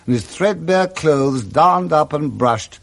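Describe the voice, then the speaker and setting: A man with a British accent narrating a literary story for radio drama, with expressive, measured dramatic phrasing. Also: a little high, gravelly.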